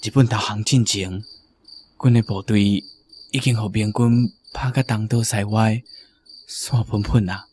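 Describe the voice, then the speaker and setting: An actor playing a weary, gentle middle-aged soldier back from war in a radio drama, hoarse and subdued, with a Taiwanese accent. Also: male voice, on the low side, steady tone, a little slow, a little hiss or hum, natural voice. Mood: somber, gentle.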